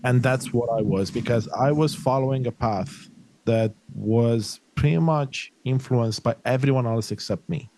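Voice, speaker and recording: A man's voice: mid-range voice, a little slow, mellow, a little hiss or hum, low-quality recording. A middle-aged man from Romania, a podcast guest talking earnestly and reflectively in long flowing sentences. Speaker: podcast guest, life coach. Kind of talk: conversational interview.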